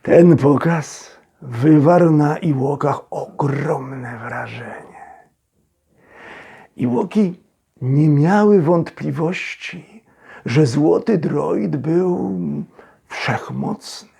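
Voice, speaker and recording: A man narrates a storybook in a gravelly, husky voice. His delivery is slow and theatrical, with suspenseful pauses between phrases. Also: a little high, mellow. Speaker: storyteller, actor reading a children's audio story. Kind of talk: dramatic scripted storybook narration.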